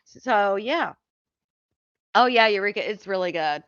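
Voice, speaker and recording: A woman's voice: mid-range voice, lively intonation, measured pace, a bit bright, no echo. A woman hosting a livestream, talking loosely with her viewers and answering their comments as they come in. Her voice sounds a little worn after hours on air. The audio is dry and slightly dull, like a home streaming mic. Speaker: live stream host. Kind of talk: casual chat with live viewers.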